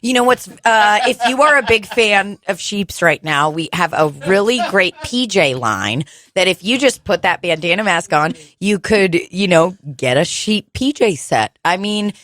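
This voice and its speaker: A woman's voice: medium pitch, expressive, a little slow, few pauses, fairly bright tone, dry recording. A woman co-host playing an exasperated retail clerk with forced politeness and sarcasm in an improv comedy sketch recorded in a dining room. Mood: sarcastic. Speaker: podcast co-host.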